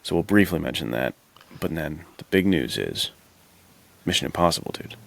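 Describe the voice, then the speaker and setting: A man co-hosting a podcast, chatting casually about films in an informal, halting, discursive way. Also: rumbling low, big pitch swings, creaky, a bit bright. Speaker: podcast co-host.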